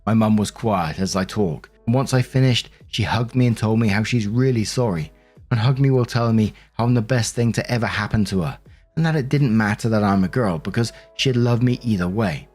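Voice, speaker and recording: A man's voice: lowish voice, a little fast, a little mellow. A man reading online stories aloud and commenting casually in an earnest, sympathetic manner. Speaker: host narrator of a Reddit stories channel. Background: background music.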